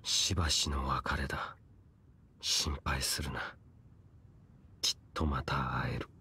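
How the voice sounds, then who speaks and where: Very deep, brooding knight. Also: male voice, fairly even intonation, creaky, pushed and bright, slightly rough, full character voice. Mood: solemn, steadying. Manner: solemn, curt, archaic and measured. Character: stoic, brooding male knight wanderer in a fantasy game. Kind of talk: Japanese anime game voice acting.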